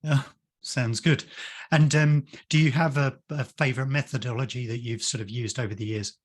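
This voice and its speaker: A man's voice: mid-range voice, steady tone, moderate pace. A man hosting an interview on a video call, chatty and friendly, with fillers and small laughs. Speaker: interview host, company founder.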